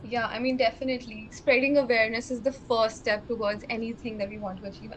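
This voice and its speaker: College girl host, high-ish voice, low hum in background. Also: fairly even intonation, quick pace, poor audio. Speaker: student interviewer. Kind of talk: hosting a college talk show.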